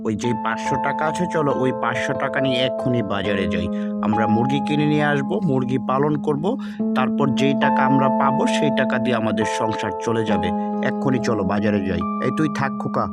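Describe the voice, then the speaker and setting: A man's voice: mid-range voice, fairly even intonation, fast, loud music behind the voice, natural voice. A man voicing an earnest poor village farmer and devoted husband in a children's cartoon. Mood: resigned, reassuring.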